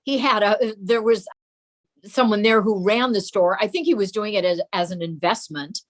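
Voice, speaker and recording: A woman's voice: medium pitch, normal speed. A mature woman reminiscing about family memories as a guest in an interview. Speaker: guest recalling her father.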